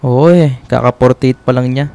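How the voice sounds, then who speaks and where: Clear-voiced, shy young Filipino man, acted by a man. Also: medium pitch, fairly even intonation, moderate pace, dark tone, close to an everyday voice. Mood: subdued. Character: polite, shy, friendly young man in a love story. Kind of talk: radio drama acting.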